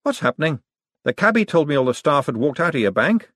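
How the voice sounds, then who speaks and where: A man voicing a sharp, dry-witted young woman who speaks crisply and sardonically. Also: fairly high pitch, sing-song, fast talker, no echo, voice pushed up. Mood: wry.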